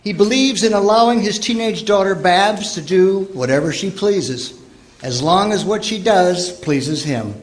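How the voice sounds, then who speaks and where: A man's voice: high-pitched, a little slow. A man announcing and narrating in scripted old-time radio style. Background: music.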